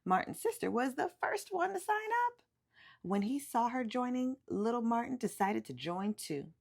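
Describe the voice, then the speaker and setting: Female storyteller for a children's picture book, lively and emphatic, with some vocal fry. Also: a little high. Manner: animated, emphatic storytelling with drawn-out words. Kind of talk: expressive read-aloud of a children's picture book.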